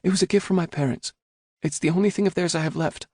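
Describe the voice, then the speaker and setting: Guarded young woman in a storybook read, put on by a male narrator: hesitant, earnest, rough-edged, close and dry. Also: fairly high pitch, fairly even intonation, fast, a little mellow, no echo, voice pushed up, lightly acted. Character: guarded young woman, wary yet hopeful.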